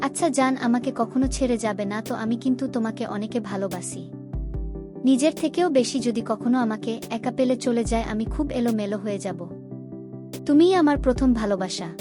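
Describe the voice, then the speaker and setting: A woman voicing a sweet, shy young village girl in a Bangla cartoon, speaking softly and affectionately. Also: on the high side, brisk, music in the background, lightly acted. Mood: loving. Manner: soft, affectionate.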